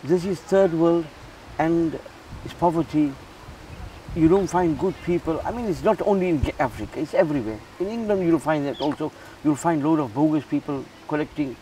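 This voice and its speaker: A man's voice: fairly high pitch, normal speed, dark tone, far from the mic, slight background noise, poor audio. A man from Zimbabwe who runs a charity, talking earnestly in a casual interview and often repeating words as he restarts. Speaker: charity founder.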